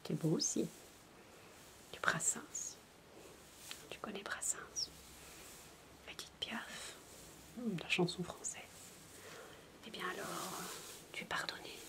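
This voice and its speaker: Casting: woman to play a madcap babysitter for soft ASMR roleplay, whispery, unhurried, higher-pitched, coaxing and chatty with a small child. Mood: playful coaxing. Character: zany playful babysitter entertaining a six-year-old child.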